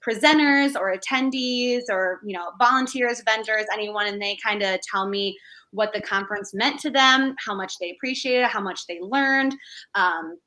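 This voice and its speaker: A woman's voice: medium pitch, normal speed. A young woman, a conference planner, chatting casually about running a virtual event, with frequent fillers. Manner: relaxed, conversational, frequent fillers. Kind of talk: casual chat.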